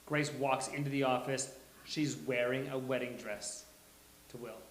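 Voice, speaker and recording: A man's voice: medium pitch, steady tone, unhurried. Guy hosting a table read, reading scene headings aloud, roomy sound, little bit of hiss. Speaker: host and stage-direction reader. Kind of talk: reading script stage directions aloud. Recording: echoey room, hissy background.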